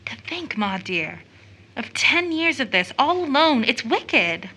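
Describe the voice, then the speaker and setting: A devoted young daughter in an audio drama. Her voice sits fairly high with a bright, tense edge. She speaks with tender, emotional concern, soothing her mother. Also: very animated, slow pace, exaggerated voice, rough recording. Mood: tender, distressed.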